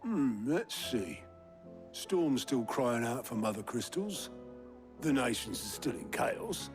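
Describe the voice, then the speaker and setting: A man's voice: medium pitch, very animated, slow pace, over music. A man playing a blunt, wry comrade with dry humour in a video game drama. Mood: wry, encouraging.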